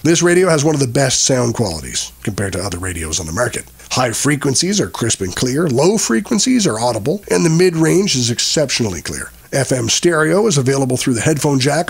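A man's voice: medium pitch, creaky, husky. A man reading a scripted voice-over as the narrator of a product review.

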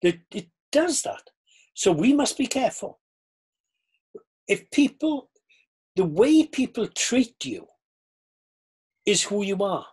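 A man's voice: high voice, slow pace, long pauses, slightly rough. An older man with a Welsh accent, a former pastor talking earnestly over a video call from a book-lined study. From Wales. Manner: earnest, reflective, animated gestures.

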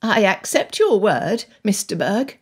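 A woman's voice: medium pitch, big pitch swings, measured pace, breathy edge, full character voice. A woman voicing a shrewd, composed governess who speaks in a formal, firm and poised way in a storybook reading. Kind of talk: dramatised audiobook dialogue.

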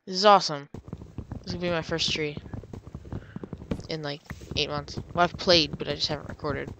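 A woman's voice: sits low, steady tone, normal speed, background noise. A young woman with a stuffy, nasal voice from a cold, chatting casually while she plays a computer game. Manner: chatty, rambling, drifts into murmurs. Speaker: gamer. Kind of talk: casual gameplay commentary.

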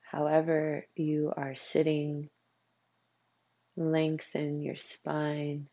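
Husky, gravelly meditation guide, even and unhurried. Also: medium pitch, dry recording. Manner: soothing, unhurried phrases with long pauses. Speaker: meditation coach.

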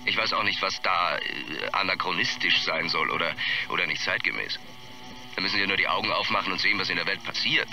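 An actor playing a brooding, defiant film director in his thirties, gravelly and halting, in a documentary interview. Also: sits low, background noise. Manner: hesitant, halting, searching for words.

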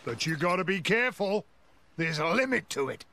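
An actor playing a gruff, brash middle-aged mercenary boss in a video game, swaggering and taunting in folksy slang. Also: male voice, high voice, sing-song, moderate pace, sharp tone, no room sound, exaggerated voice. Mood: hearty blunt. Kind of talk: video game cutscene voice acting. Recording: music behind the voice. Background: game soundtrack music.

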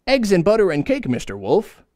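A man's voice: sits high, huge pitch swings, normal speed, darkish tone, dry recording, studio quality, voice pushed up. A man voicing a sweet, trusting little girl in a storybook reading, in a raised sing-song tone. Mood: innocent.

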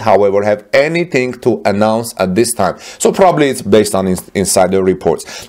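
A man's voice: fairly low pitch, darkish tone. A man hosting an automotive news channel, narrating semi-scripted reports in an earnest way and addressing viewers directly.

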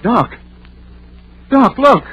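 A man's voice: very high-pitched, sing-song, very slow, a bit bright, full character voice. A man playing a nervous, superstitious country townsman with an American accent in an old radio drama, speaking in an anxious, folksy, hesitant way. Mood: alarmed. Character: nervous, superstitious rural townsman, reluctant searcher. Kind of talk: 1930s-40s radio drama acting. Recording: muffled vintage radio recording, faint hum.

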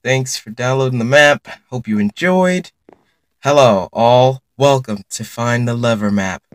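Unhurried young man narrating a game. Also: medium pitch, no echo, studio quality. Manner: chatty, reacts aloud and reads signs to viewers. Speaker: gaming YouTuber. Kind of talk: casual gameplay commentary.

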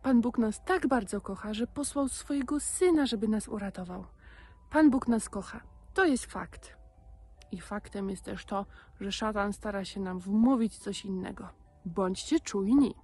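Slow-paced woman, slightly husky, teaching children. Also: medium pitch, darkish tone. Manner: emphatic, repeats key words. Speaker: presenter of a children's Bible lesson. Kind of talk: simple teaching addressed to children.

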